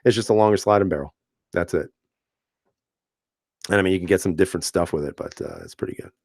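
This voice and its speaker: A man hosting a casual live stream, reading viewer comments aloud and answering in a relaxed, chatty way with frequent fillers and easy laughs. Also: low voice, fast, no room sound, clean studio sound. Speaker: channel host reading live chat.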